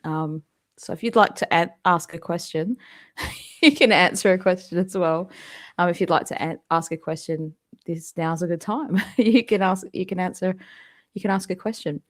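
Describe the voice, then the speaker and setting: Western Australian woman, musician hosting a live fan chat from home, slightly low voice, loose and laughing, lots of fillers. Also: normal speed. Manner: chatty and rambling, laughs often, many ums. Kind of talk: casual live-stream chat. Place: home, indoors. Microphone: desktop microphone at a computer.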